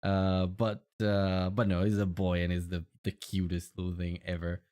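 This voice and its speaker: A man with an Italian accent, a native Italian speaker, chatting casually and in a friendly, halting way as a game streamer. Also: low-pitched, completely flat delivery, mellow. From Italy. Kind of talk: casual livestream chat.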